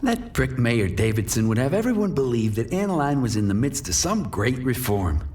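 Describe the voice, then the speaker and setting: A man's voice: mid-range voice, big pitch swings, moderate pace, a little mellow, slight background noise, close to an everyday voice. An actor playing a bitter, menacing drug dealer who narrates his crime drama with cynical, profane threat. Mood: brooding, cynical. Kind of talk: noir first-person scripted narration and dialogue.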